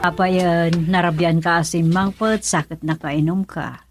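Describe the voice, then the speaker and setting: A woman's voice: lowish voice, steady tone, measured pace, loud music behind the voice, lightly acted. A woman acting in an Ilocano radio drama as a worried middle-aged mother, fretful and admonishing. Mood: worried scolding. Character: worried, scolding mother of a grown son.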